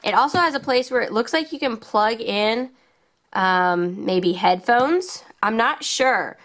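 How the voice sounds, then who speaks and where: A woman with a fairly high voice presents toys to children. She talks very unhurriedly and casually, with simple wording and plenty of enthusiasm. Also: expressive, unhurried, fairly bright tone. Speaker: children's toy channel host. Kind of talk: casual hands-on toy showcase for children.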